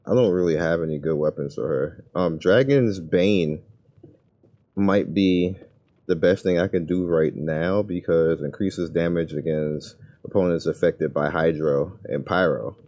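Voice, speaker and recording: Low, slow-talking man, casual. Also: mellow. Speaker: gaming video creator. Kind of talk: casual gameplay commentary, thinking aloud.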